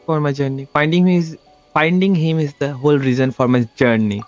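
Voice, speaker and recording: A man's voice: on the high side, fairly even intonation, fairly quick, muffled recording, faint music behind. A man with an Indian accent live-streaming a game, reacting casually in short interjections and reading along. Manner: short interjections, switches between languages.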